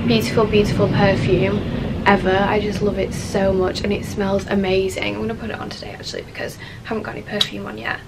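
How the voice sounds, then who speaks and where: A woman in her twenties from Leeds with a Yorkshire accent, chatting excitedly about her presents in a bedroom, a little sniffly from a cold and full of "um" and "like". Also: medium pitch, very noisy. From Leeds, West Yorkshire, England. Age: 20s. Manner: gushing, enthusiastic, many fillers like "um" and "like". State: has a cold, a bit sniffly. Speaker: lifestyle vlogger. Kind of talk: casual chatty haul video. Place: bedroom, indoors.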